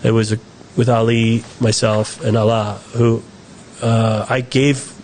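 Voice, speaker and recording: A man's voice: mid-range voice, little pitch movement, a little slow, a little mellow, a little hiss or hum, low-quality recording. An American man, a film director, answering interview questions in a loose, rambling way, often restarting and correcting himself. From United States. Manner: rambling, self-correcting storyteller. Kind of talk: casual conversational interview.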